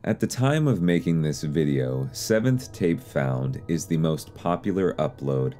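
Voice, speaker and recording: A man giving measured, somewhat tentative explainer commentary on horror videos as the host and narrator. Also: extremely low pitch, expressive, dark tone, faint music behind.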